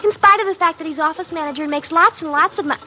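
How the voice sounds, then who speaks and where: Office switchboard girl, vintage radio mystery. Chatty, coy, high-pitched and bright. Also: rapid-fire. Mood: gossipy. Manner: coy, gossipy. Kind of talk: old-time radio drama acting. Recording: old radio recording with faint noise.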